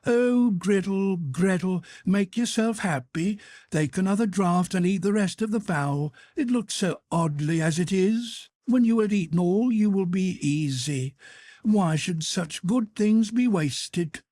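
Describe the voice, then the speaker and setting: Man doing a scheming young cook girl, high and wheedling, husky, storybook. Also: a little slow, dark tone, no echo, studio quality, lightly acted. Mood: tipsy scheming. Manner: wheedling, talking to herself. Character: sly greedy young female cook.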